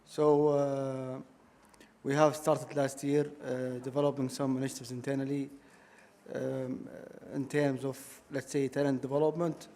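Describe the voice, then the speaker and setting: Flat, mellow-voiced man on a panel. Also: mid-range voice. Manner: measured, hedging with "let's say". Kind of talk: panel discussion answer. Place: conference hall stage. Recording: reverberant conference hall.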